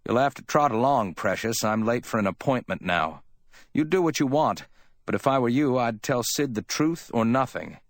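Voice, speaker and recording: A man's voice: mid-range voice, lively intonation, moderate pace, no echo, voice pushed up, close to an everyday voice. A man reads as a cool hardboiled man in an audiobook story. He is wry and offhand, clipped yet lightly amiable, brushing things aside. The recording is close and dry. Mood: gently dismissive. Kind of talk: dramatic audiobook character reading.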